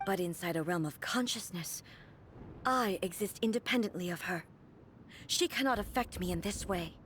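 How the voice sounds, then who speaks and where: An actress voicing a stern, imperious divine puppet ruler in a video game, speaking in a formal, solemn, commanding way. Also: female voice, medium pitch, slight background noise, close to an everyday voice. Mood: explanatory.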